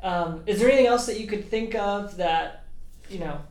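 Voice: medium pitch, normal speed, a little mellow. A podcast host chatting in a rambling, emphatic, informal way, filling sentences with "like" and "you know". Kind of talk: casual conversational interview. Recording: roomy echo with clear background noise.

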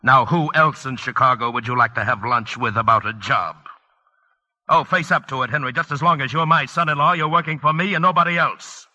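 A man's voice: medium pitch, fairly quick, sharp tone, muffled recording. An actor playing a domineering older father-in-law in a vintage radio drama, blunt and commanding. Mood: scornful, commanding. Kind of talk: 1940s-50s radio drama acting.

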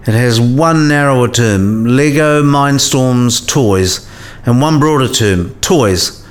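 A man's voice: medium pitch, takes it slowly, lightly acted. A man playing a learned professor in a radio play, explaining patiently and precisely and asking probing questions. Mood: didactic, patient.

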